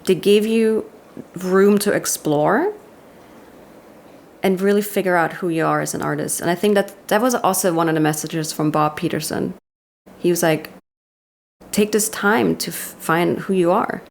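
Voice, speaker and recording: A woman's voice: lowish voice, vocal fry, slight background noise. A woman in her thirties with an Austrian accent, speaking candidly as a guest in a casual interview and laughing easily. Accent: Austrian German. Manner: candid, laughs often, quick self-deprecating asides. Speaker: guest, commercial director.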